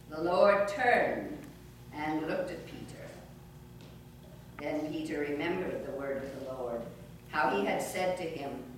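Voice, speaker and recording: A woman reading scripture narration in a measured, deliberate way during a church service. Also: deep voice. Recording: very echoey church, distant mic, noisy.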